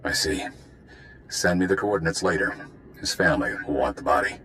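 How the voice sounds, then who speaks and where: An actor playing a sober spy commander in a video game, giving orders in a clipped, official manner. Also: male voice, a bass voice, expressive, fairly quick, pushed and bright, a little hiss or hum, exaggerated voice. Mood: grave, businesslike. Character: sober, authoritative male spy base commander. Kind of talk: video game cutscene acting.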